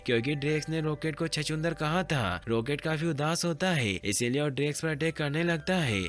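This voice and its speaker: Guy doing a scripted movie recap, voice a bit high, some music behind him. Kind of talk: scripted narration of a film plot.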